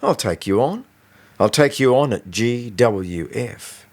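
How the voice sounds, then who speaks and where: A man acts out a schoolboy hero in a children's audiobook, nervy but cheeky. He talks back with urgent defiance, his pitch lifted well up. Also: lively intonation, slow. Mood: defiant.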